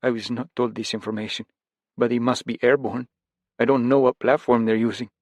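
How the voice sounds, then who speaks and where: A man's voice: mid-range voice, fairly even intonation, a little fast, darkish tone, no echo, studio quality, pitched above the performer's own voice, close to an everyday voice. A man voicing an anguished man freed from a demon, sobbing and screaming his pleas. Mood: earnest.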